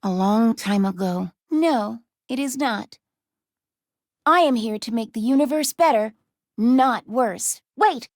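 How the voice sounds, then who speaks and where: A woman's voice: a little high, expressive, takes it slowly, no echo, studio quality. A woman voicing a small, earnest, self-doubting female robot companion in a video game, speaking formally and precisely without contractions. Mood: somber, guilt-ridden, then urgent. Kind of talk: video game character dialogue.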